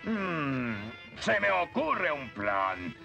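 A man's voice: on the high side, sing-song, drawn-out words, vocal fry, pushed and bright, heavily acted. A man with a Latin American accent voicing a bossy, short-tempered skeletal villain sorcerer in a cartoon dub, commanding and insulting. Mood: scheming. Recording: muffled old cartoon dub, music behind.

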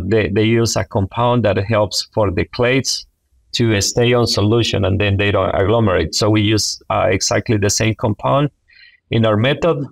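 Middle aged honduran guy, spanish accent, kinda low voice, dry sound, explaining stuff on a video call. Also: creaky, no room sound. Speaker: guest, poultry science professor. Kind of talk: explanatory interview talk. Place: small indoor office, video call. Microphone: wireless earbuds on a video call.

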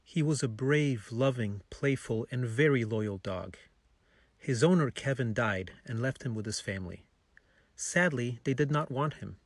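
A man's voice: medium pitch, animated, unhurried, dry recording. A man narrating a scripted children's bedtime story.

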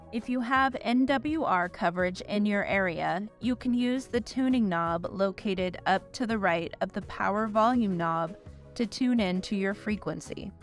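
A woman narrates an instructional demonstration in a clear, steady voice. She explains each step methodically, in a scripted style. Faint music plays quietly behind her. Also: medium pitch.

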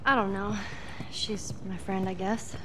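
A woman plays a defiant fourteen-year-old girl in a game who keeps prying with questions and snapping back with sass. Her voice sits at an ordinary pitch for a woman. Ambient game noise runs clearly behind her. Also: steady tone, a bit bright, close to an everyday voice. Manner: quick, prying, sassy.

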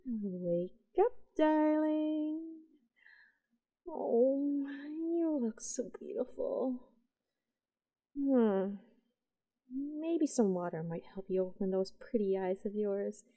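A woman playing an obsessive, possessive yandere young woman in a roleplay audio drama, cooing and halting, sweetly unhinged. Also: a little high, mellow, no room sound. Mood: tender, coaxing. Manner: cooing, halting, breathy asides.

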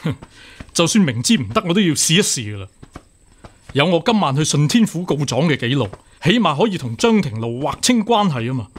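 An actor with a Hong Kong Cantonese accent playing a hot-headed, righteous young court official, earnest and impassioned, in a radio drama. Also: male voice, a little high, big pitch swings, fairly quick, husky. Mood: agitated, earnest.

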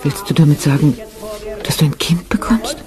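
A woman's voice: deep voice, expressive, normal speed, over music. An actress playing an attentive, concerned woman of a theatre troupe in a radio play. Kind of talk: German radio play acting.

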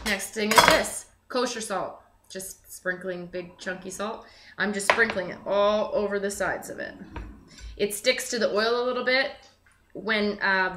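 A young woman giving a casual, chatty cooking demonstration to camera, thinking aloud as she works. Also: medium pitch. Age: young adult. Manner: chatty, informal, thinking aloud while working. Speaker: cooking show host. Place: indoor home kitchen. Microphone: camera mic a few feet away. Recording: roomy, echoey, noisy kitchen recording.

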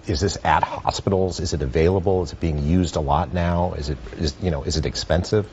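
A man's voice: low voice, fairly even intonation, fairly quick, darkish tone, muffled recording, a little hiss or hum. A man with an American accent, interviewing a guest as a news anchor on live television. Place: TV news studio.

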